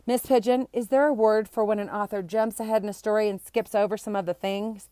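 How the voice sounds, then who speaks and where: A woman's voice: mid-range voice, steady tone, brisk, dark tone, no room sound, lightly acted. Storybook schoolgirl played by a woman: confident, bossy, impatient, declarative, dry close recording. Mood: confident announcing. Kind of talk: children's storybook character reading.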